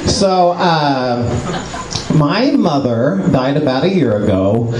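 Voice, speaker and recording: A man's voice: on the high side, sing-song, slow pace. A middle-aged man performing live stand-up comedy in a self-deprecating, mock-exasperated manner. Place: small comedy club stage with audience. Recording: echoey comedy club, loud audience noise. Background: loud audience laughter and noise.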